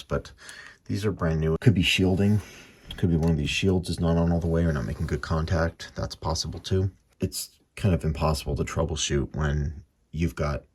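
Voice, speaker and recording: A man's voice: a bass voice, darkish tone. A man giving a casual, matter-of-fact running commentary as he demonstrates a repair at his workbench, thinking aloud in short phrases. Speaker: hobbyist radio restorer presenting his own repair. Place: small home workbench. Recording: clearly noisy workshop recording with clicks.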